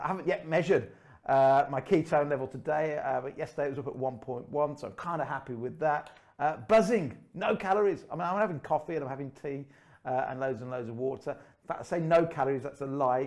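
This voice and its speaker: A man in his forties hosting an online show in a chatty, upbeat way, his voice slightly croaky. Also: fairly high pitch, a little mellow. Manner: upbeat, chatty, laughs often. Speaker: host. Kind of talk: casual online show presenting. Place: room under his house.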